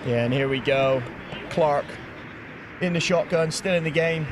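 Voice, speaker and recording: A man calls a live football game with a high, bright voice, quick to joke and laugh. He is recorded outdoors with clear traffic and ambient noise running underneath the commentary. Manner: lively, jokey play-by-play, laughs easily. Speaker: play-by-play commentator.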